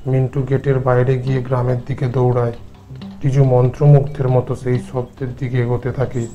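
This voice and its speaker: A male narrator reading a scripted horror tale. His voice is mid-pitched and bright, with little variation in pitch, over faint music. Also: monotone, a little fast, muffled recording.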